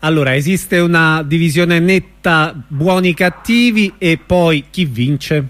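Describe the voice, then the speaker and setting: A man's voice: fairly high pitch, slow pace, fairly bright tone. A man hosting a radio interview, asking questions in a casual, conversational style.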